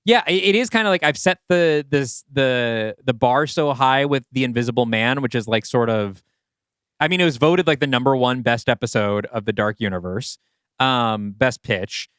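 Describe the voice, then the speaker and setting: A man's voice: medium pitch, moderate pace, a bit bright, clean tone, dry recording, studio quality. A man co-hosting a podcast in casual, rambling, self-doubting chat as a writer. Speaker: podcast co-host and writer of the story discussed.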